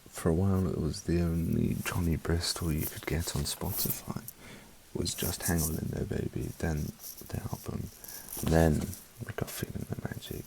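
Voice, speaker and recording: A man from South Africa with a mixed British accent, giving an unhurried, soft-spoken ASMR album review in a half-whispered voice with wry asides, close to the mic. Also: extremely low pitch, fairly even intonation, creaky, a little hiss or hum, rough recording.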